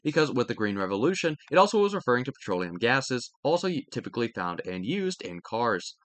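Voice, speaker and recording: Guy reading study material out loud, super up and down voice, trips on words a bit, really dry sound no room. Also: mid-range voice, big pitch swings, fairly quick, no echo. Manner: stumbles over words and corrects himself. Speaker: reader and host of study videos. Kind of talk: reading a textbook aloud with added comments.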